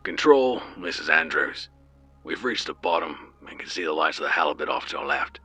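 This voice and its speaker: Serious male dive leader, very deep and husky, sharp-edged delivery, voiced by a male narrator. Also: lively intonation, moderate pace, faint music behind, heavily acted. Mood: commanding. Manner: serious, commanding.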